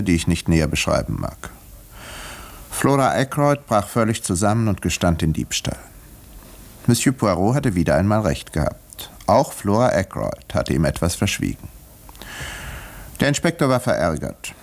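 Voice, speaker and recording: Radio drama: composed, wry country doctor narrating a whodunit; low voice, lively intonation, educated delivery. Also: male voice. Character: village doctor, wry male narrator of a murder mystery. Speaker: narrator and character in radio play. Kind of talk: 1950s German radio drama, measured storytelling.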